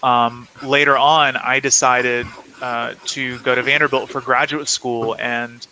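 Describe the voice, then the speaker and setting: A man's voice: mid-range voice, little pitch movement, unhurried, sharp tone, poor audio. A man from South Carolina speaking conversationally as an interview guest, explaining things reflectively. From South Carolina, United States. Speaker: guest author. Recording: clear background noise on livestream.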